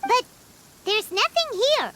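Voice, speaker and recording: A woman voicing a tiny, excitable, easily spooked fairy girl companion in a video game, squeaky and childlike, with exclamatory delivery. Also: slow, slight background noise, exaggerated voice. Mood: curious. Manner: exclamatory, refers to herself in third person.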